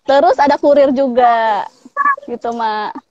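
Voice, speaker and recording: A woman's voice: fairly high pitch, a little slow, darkish tone, no room sound, dull audio. A woman from Palembang in her thirties, a food business owner chatting casually in an interview over a video call, with frequent laughs. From Palembang, Indonesia. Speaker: pempek business owner, guest.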